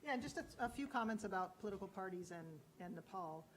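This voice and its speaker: A woman's voice: mid-range voice, animated, fast talker, a little hiss or hum. A woman political scientist speaking on a panel, thoughtful, with occasional false starts. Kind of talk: academic panel discussion.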